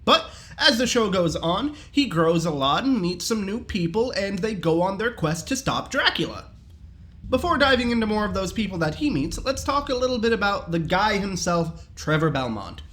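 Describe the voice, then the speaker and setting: A man giving a casual, enthusiastic review in an animated, jokey, slangy way. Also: high voice, normal speed.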